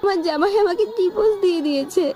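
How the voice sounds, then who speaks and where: A woman's voice: extremely high pitch, fast, mellow. A woman voicing a money-hungry young girlfriend in an amateur audio love-story drama. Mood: pleading, regretful. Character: scornful, money-hungry young girlfriend, later begging forgiveness.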